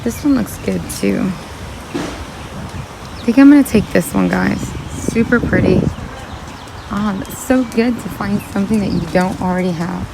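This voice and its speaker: A woman with a slightly raspy voice, chatting casually to her viewers as she browses plants in a garden center. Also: mid-range voice, measured pace, long pauses, background noise. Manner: chatty, thinking aloud while browsing. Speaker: vlogger. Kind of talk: casual shopping vlog talking to viewers. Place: garden center of a large store.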